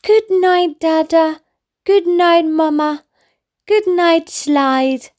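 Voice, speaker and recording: A woman voicing an excitable, playful little toddler boy from a storybook, in a singsong, exclaiming manner. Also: very high voice, extremely slow, voice pushed up, full character voice. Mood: sleepy, sweet.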